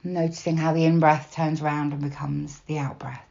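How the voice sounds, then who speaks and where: Low-voiced woman guiding meditation, slightly muffled. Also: flat delivery, creaky. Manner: slow, soft, unhurried with long pauses. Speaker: meditation guide. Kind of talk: guided body scan meditation, gentle instruction.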